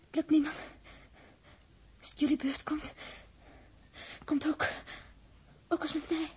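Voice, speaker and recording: Slow, high-pitched delirious girl. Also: little pitch movement, a little mellow. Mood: eerie, weak. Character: delirious, despairing young woman, gravely ill. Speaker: radio drama actor. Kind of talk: 1960s Dutch radio play acting.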